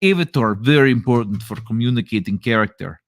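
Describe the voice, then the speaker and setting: A man voicing a stiff, formal Russian man who speaks halting English and drops his articles. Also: mid-range voice, expressive, dry recording, pitched below the performer's own voice, natural voice. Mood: formal, deadpan. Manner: halting, overly formal phrasing with dropped articles.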